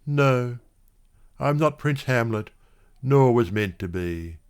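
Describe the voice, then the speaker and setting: A man reciting literary verse dramatically, with measured, expressive phrasing. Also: mid-range voice, smooth. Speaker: poetry reader.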